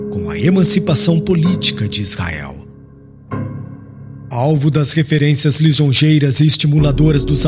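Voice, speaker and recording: Male audiobook narrator, Brazilian accent, slightly high voice, very expressive intonation, formal and dramatic. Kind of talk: scripted literary narration. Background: music.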